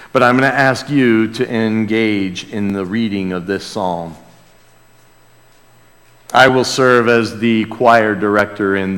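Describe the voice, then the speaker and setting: A man's voice: mid-range voice, a bit bright. Guy preaching in a big echoey hall, takes his time, reverent and emphatic. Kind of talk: sermon with scripture reading and call-and-response. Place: large church hall before a congregation. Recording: reverberant large hall, amplified live sermon.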